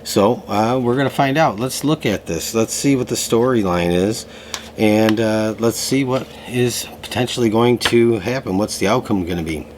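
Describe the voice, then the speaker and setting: A man giving a casual tarot reading to camera, slightly hoarse from a lingering cough, talking in a loose way with many fillers. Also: on the low side, moderate pace, background noise. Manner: relaxed, loose, full of fillers and restarts. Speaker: tarot reader. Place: room at home.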